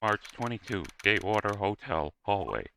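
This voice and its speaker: Man as a low, bright-toned caption announcer. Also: very animated, normal speed, vocal fry, exaggerated voice. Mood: matter-of-fact. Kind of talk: scripted caption reading.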